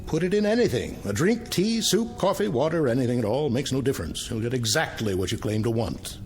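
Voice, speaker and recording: A man's voice: mid-range voice, expressive, fairly quick, darkish tone, close to an everyday voice. Man acting weird sardonic potion professor, very swoopy delivery, old tv drama, faint music behind. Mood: dry, dismissive. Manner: cryptic, dry, theatrical. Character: eccentric, sardonic professor selling costly potions. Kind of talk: vintage television drama acting.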